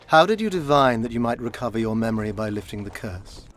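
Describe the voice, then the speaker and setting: Actor as a shrewd, cold royal spymaster. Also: male voice, mid-range voice, lively intonation, fast talker, faint music behind, natural voice. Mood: cold, menacing. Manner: articulate, formal, insinuating. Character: shrewd, coldly proud royal spymaster, an adult man. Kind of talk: video game dialogue acting.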